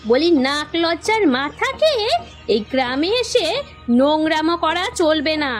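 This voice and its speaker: A woman's voice: high voice, animated, unhurried, faint music behind. A woman voicing a bossy, moralising village woman in a cartoon, with a haughty, scolding way of talking. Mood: angry scolding. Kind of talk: cartoon voice acting.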